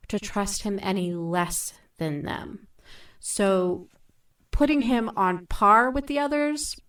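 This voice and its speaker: A woman in a thoughtful podcast discussion, speaking in a deliberate, measured way with emphatic phrasing. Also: mid-range voice, a bit bright, distant mic.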